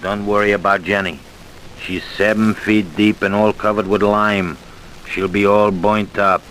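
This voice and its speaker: A man's voice: lowish voice, little pitch movement, measured pace, a bit bright, rough recording. A man playing a callous, guarded wife-killer in an old radio crime drama. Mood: furtive, confiding. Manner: defensive, guarded, tough. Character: callous, defiant jailed wife-killer, male truck driver. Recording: hissy old radio recording.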